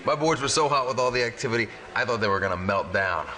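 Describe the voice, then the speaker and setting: An actor playing a wry, beleaguered resistance hacker in a video game cutscene, complaining in slang. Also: male voice, medium pitch, lively intonation, quick pace, sharp tone. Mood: relieved, wry. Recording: noisy game cutscene audio.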